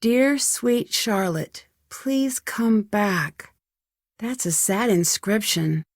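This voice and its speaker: A woman's voice: mid-range voice, lively intonation, drawn-out words, dry recording, full character voice. A young woman sleuth in a mystery game. She is earnest and inquisitive, with a mid-range voice and natural, polite delivery. The sound is close and dry, with no room around it. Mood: solemn. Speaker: video game voice actor. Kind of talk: scripted game dialogue, clear and natural.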